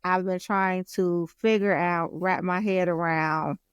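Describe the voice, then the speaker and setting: An American woman co-hosting a podcast in casual, slangy girl talk with a playful, expressive manner. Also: fairly low pitch, unhurried, smooth, dry recording, dull audio. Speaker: podcast co-host and content creator.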